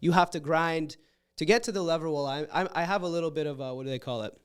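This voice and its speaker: A man's voice: fairly high pitch, rapid-fire, clean tone. A man in his twenties, a comedian talking in a casual podcast interview, rambling and blunt with frequent restarts. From Canada. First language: Russian. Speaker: comedian guest.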